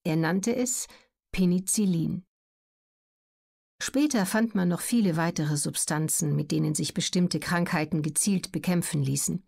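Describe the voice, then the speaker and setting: A woman narrating scripted educational documentary material as an audiobook reader, measured and carefully articulated. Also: on the low side, gravelly, a little mellow.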